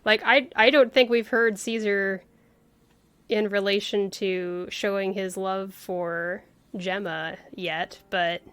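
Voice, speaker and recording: A woman's voice: medium pitch, steady tone, takes it slowly, fairly bright tone, smooth, dry recording. Chatty woman game streamer, mid-range voice. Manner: wry, chatty, reacting aloud. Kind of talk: casual live commentary on a video game story. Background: faint game music.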